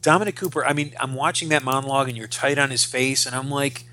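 Bright-voiced man, emphatic podcast co-host. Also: medium pitch, fairly even intonation, fast. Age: 40s. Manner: emphatic, repeats phrases, swears freely. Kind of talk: casual opinionated pop culture discussion.

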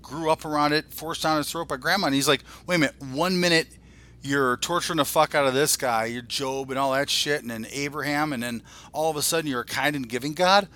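A man's voice: on the high side, a little fast, sharp tone. A man in his forties co-hosting a podcast, ranting casually in a blunt, profane, irreverent way. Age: 40s. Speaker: podcast co-host.

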